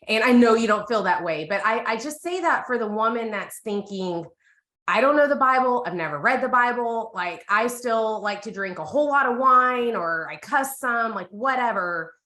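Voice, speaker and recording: A woman's voice: on the high side, lively intonation, normal speed, pushed and bright, roomy sound. An American woman in her thirties giving a candid, casual personal testimony as a co-host. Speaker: co-host of a women's Bible reading group.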